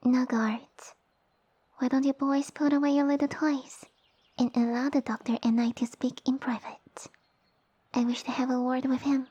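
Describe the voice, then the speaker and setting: Haughty young lamia heiress, played by a woman, high and breathy, pompous and bossy, for ASMR roleplay audio. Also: flat delivery, normal speed, warm tone. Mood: imperious, dismissive. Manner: pompous, formal, commanding speech. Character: haughty, sassy young lamia noblewoman, tiny monster girl.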